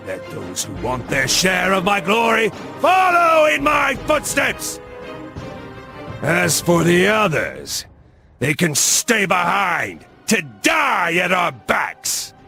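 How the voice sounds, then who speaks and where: A cruel, imperious commander of crusaders in a dramatic game cutscene. His voice is pitched very high and swings widely as he barks out commands, haughty and hungry for glory. Music plays clearly behind him. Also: pushed and bright, heavily acted. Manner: haughty, barking orders. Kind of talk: dramatic video game acting.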